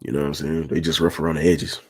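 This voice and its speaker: A young man with a raspy voice, talking in a laid-back, smooth way and repeating himself as he hosts a casual livestream. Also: a bass voice, flat delivery, fast talker. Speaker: livestream host.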